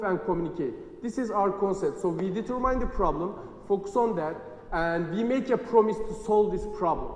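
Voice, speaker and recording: Man, Turkish accent, fairly high voice, earnest presenter, big reverberant hall. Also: a little mellow. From Turkey. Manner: earnest, with slight non-native phrasing and small restarts. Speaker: company founder giving a short festival talk. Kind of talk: presentation to an audience. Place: large hall.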